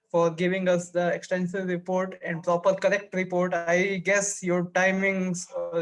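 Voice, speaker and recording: High voiced guy running a video call meeting, polite and formal. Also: rough recording. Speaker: meeting toastmaster and general evaluator.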